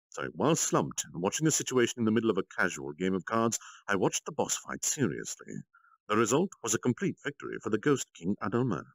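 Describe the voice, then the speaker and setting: A man reading a story aloud on a livestream, with expressive narration and dramatic, measured phrasing. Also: on the low side, no room sound, low-quality recording. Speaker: storytime streamer, reader.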